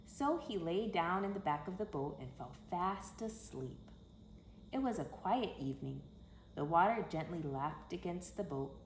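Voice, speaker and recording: A woman's voice: fairly low pitch, expressive, a little slow. A woman reading a children's picture book aloud expressively, with dramatic, emphatic phrasing. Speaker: storyteller for children. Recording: echoey room, faint background noise.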